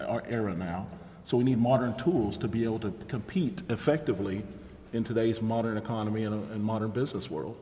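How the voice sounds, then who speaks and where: A man's voice: on the low side, darkish tone. A man speaking as a mayor running a council meeting, discussing matters with the other members. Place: indoor council chamber. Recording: reverberant meeting chamber with background noise.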